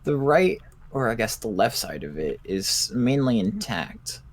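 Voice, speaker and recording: A man roleplaying a cautious, analytical doctor in a zombie survival game, speaking in a deliberate, musing, clinical way. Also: medium pitch, very animated. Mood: thoughtful, measured. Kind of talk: improvised Minecraft roleplay.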